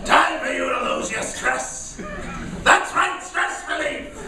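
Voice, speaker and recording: An impatient, hectoring drill-sergeant coach. The shouted commands are high and slowly drawn out, heard from off in a very echoey space in a comic radio ad. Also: male voice, wildly swooping pitch, crowd noise, exaggerated voice. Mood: bullying, impatient. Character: barking male drill-sergeant relaxation coach, bullying and impatient. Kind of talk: comic radio advertisement acting. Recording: echoey, distant live stage with noise.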